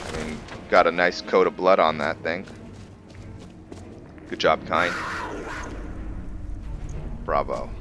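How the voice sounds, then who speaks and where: A man giving casual commentary on his game playthrough, thinking aloud in a halting way. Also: extremely low pitch, steady tone, over music. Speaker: gaming video host.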